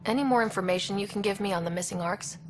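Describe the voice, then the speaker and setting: A woman's voice: medium pitch, quick pace, slight background noise, close to an everyday voice. An actress playing a bold, sardonic young woman explorer in a video game, speaking in a direct, dry, challenging way. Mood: businesslike. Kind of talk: video game cutscene acting. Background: music.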